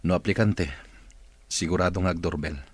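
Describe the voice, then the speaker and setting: An actor playing an irritable, suspicious boss who uses a wheelchair in an audio drama, speaking in a curt, interrogating way. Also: male voice, low voice, steady tone, normal speed, breathy edge, no room sound. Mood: grumbling.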